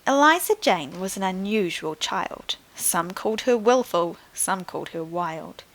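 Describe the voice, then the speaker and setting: A woman reading a picture book to young children like a primary teacher, in an expressive, deliberate way. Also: on the low side, poor audio. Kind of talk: scripted storybook narration for young children.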